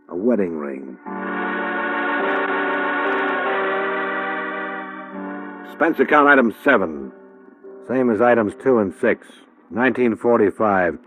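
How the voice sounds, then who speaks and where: Hard-boiled male investigator, played by an actor, American, low-pitched, wry and persistent, clipped 1950s radio detective delivery. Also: expressive, normal speed, soft background music, lightly acted. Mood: wry narrating.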